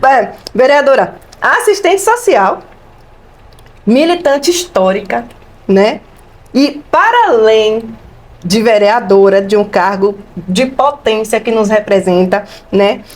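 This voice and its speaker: A woman hosting a community TV show in a studio, talking in an excitable, effusive way and laughing often. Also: fairly high pitch, takes it slowly. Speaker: TV show host. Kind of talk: community TV interview.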